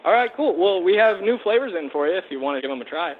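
A man's voice: high voice, animated, fast talker. A young American man working in a shop, speaking politely and hesitantly on customer service over the phone. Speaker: frozen yogurt shop employee. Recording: tinny noisy phone line.

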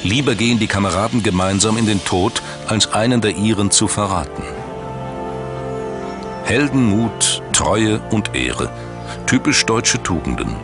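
Deep male voice doing documentary narration, measured and dramatic, clear music underneath. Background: background music.

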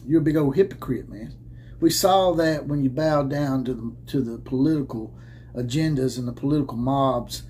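A man's voice: medium pitch, warm tone, a little hiss or hum. A man in his thirties hosting a college football commentary channel, ranting to camera in a combative, sarcastic way with mocking laughs, filmed indoors at a desk camera. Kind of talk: opinionated rant to camera. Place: indoor room with caps hung on the wall. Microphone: camera at desk framing head and shoulders.

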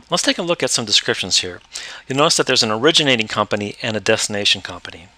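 A man explaining step by step how to use accounting software in a screen-recorded tutorial. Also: mid-range voice, no echo. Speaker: software trainer.

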